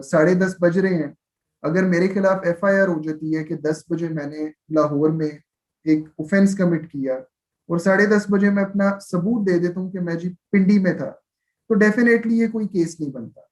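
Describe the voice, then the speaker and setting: A Pakistani man with a distinctly high voice teaches a class in an explanatory way. He moves between two languages as he goes, reading formal passages in a measured manner and dropping in frequent little tags to check that students follow. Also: a little mellow. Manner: switches between Urdu and English. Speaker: law lecturer. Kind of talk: live exam-prep lecture, explaining and reading out statutes.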